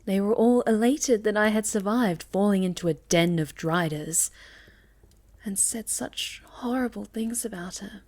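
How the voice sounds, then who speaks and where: A woman playing a sweet, shy young moth girl in an ASMR roleplay, speaking gently and hesitantly, often dropping to a breathy near-whisper. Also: mid-range voice, a little slow, natural voice. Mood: tender, wistful. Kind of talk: ASMR roleplay, soft intimate storytelling to the listener.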